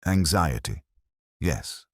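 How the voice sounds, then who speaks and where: A man playing a brooding, world-weary police inspector, narrating a mystery in measured, literary, ruminative first person. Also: a bass voice, expressive, dry recording, clean studio sound, heavily acted. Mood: brooding, reflective.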